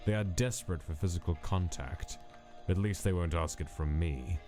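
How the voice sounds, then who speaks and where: Low-pitched man playing detached monster scientist, comic dub, cold log-entry delivery, prominent music. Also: dark tone, lightly acted. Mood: clinical, detached. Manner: clinical, measured, dismissive. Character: cold, detached male monster mad scientist, a reluctant creator. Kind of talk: fan comic dub narration of lab log entries.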